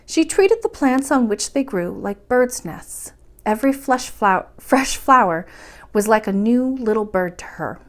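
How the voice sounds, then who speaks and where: A woman's voice: fairly high pitch, lively intonation, unhurried. A woman reading a children's story aloud expressively, as the host of a bedtime storytime.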